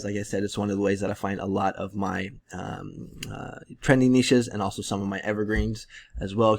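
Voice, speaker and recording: A man in his thirties giving a relaxed, rambling screen-share tutorial with many fillers, his voice strained as he loses it. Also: fairly low pitch. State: losing his voice, clearing his throat. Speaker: print-on-demand seller and tutorial creator.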